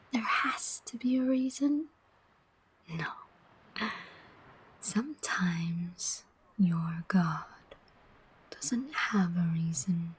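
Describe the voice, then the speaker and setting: A cunning female demon played by a woman speaks in an ASMR roleplay. Her voice is almost entirely breathy whisper, with very little clear tone. She moves extremely slowly and deliberately, taunting her listener as she goes. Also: mid-range voice.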